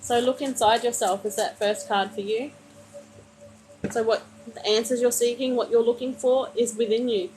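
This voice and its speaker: A woman's voice: fairly high pitch, steady tone, normal speed, darkish tone, soft background music, low-quality recording. A woman reading tarot cards for a viewer during a live stream, soothing and meandering, calling the listener honey, speaking into a phone mic. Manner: meandering, many fillers and restarts. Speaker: tarot card reader.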